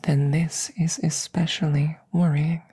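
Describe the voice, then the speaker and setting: A woman voicing a curious, cautious alien scientist in a soft, hushed ASMR roleplay, speaking formally and deliberately and slipping into whispers. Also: sits low, little pitch movement, slightly rough, full character voice. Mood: grave concern. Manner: measured, formal, deliberate phrasing.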